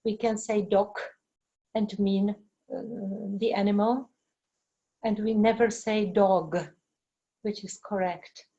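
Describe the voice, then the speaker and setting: A middle-aged woman with a Czech accent, a native Czech speaker, teaching in an informal webinar with halting, searching delivery. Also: medium pitch, steady tone, mellow, lo-fi sound. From Czech Republic. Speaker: language teacher giving a webinar. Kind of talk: informal lecture with explanations and answers.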